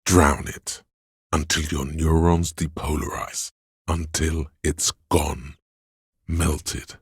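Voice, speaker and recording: A man voicing a video game's inner voice of addiction, a seductive, hedonistic tempter who coaxes and drawls in a deep, gravelly, husky voice. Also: level tone, slow, no echo, studio quality, full character voice. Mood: goading. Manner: coaxing, insinuating, drawling. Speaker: video game voice actor. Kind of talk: theatrical second-person game narration.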